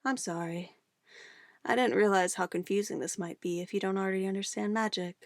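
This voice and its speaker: Woman acting a close, creaky-voiced obsessive witch. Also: medium pitch, steady tone, a little fast, dry recording, lightly acted. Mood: confiding, sinister. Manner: intimate, cooing, theatrical, shifting between soft whispers and firm commands. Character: obsessive yandere witch, sweetly menacing, possessive, giddy. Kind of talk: ASMR roleplay monologue.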